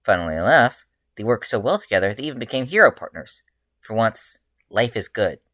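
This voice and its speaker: An author with a low voice narrating a story aloud in an informal live reading. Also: sing-song, fairly quick, fairly bright tone, dry recording, clean studio sound. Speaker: author reading own fan fiction.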